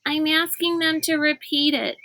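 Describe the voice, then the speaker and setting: A woman's voice: high-pitched, level tone, moderate pace, sharp tone, pitched above the performer's own voice. A woman voicing a cautious, earnest young boy in a children's storybook, explaining things in a worried way. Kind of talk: children's storybook read-aloud.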